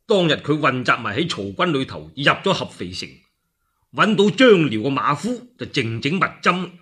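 A man's voice: fairly high pitch, normal speed, breathy edge. A man with a Cantonese accent telling a historical tale as a solo radio storyteller, in a colloquial, dramatic manner. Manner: animated, colloquial, acts out dialogue.

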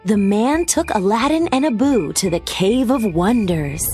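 A woman reading a children's storybook aloud as narrator, with expressive scripted storytelling delivery. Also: mid-range voice, a little slow. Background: background music.

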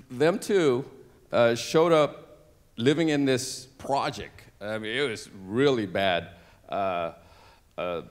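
A middle-aged man from Cambodia, a native Cambodian speaker, telling his life story on a church stage into a handheld mic, halting with many fillers and restarts. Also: medium pitch. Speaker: interview guest. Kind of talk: personal testimony on stage.

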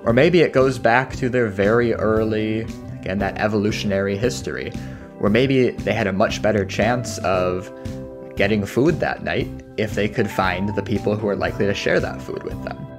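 A man speaking as a scientist guest, explaining research step by step in a measured way. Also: on the low side. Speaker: dog cognition scientist, guest. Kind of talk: explanatory interview talk. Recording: guitar music behind the voice.

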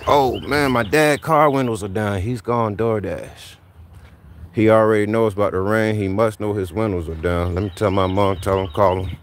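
A man in his thirties talks into an action camera outdoors, rambling and laid-back, with lots of "man" thrown in. His voice sits fairly low. A faint hum of outdoor noise stays behind him. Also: fairly even intonation, moderate pace. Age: 30s. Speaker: livestream host. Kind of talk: casual motivational livestream talk. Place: outdoors in a backyard driveway.

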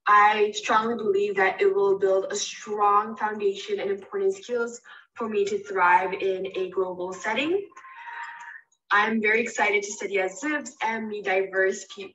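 A woman's voice: medium pitch, a bit bright, recorded from a distance, muffled recording. A young woman from Japan, an incoming student, giving an earnest scripted video message.

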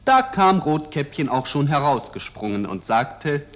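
Unhurried male fairy-tale narrator. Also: fairly high pitch, very animated, a bit bright. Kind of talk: fairy-tale storytelling for children.

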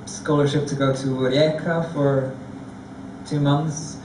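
A man on a discussion panel, telling a lively, self-deprecating story. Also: on the high side, slow pace, recorded from a distance, background noise. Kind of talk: anecdotal storytelling. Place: large theatre stage before an audience. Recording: echoey hall recorded from afar.

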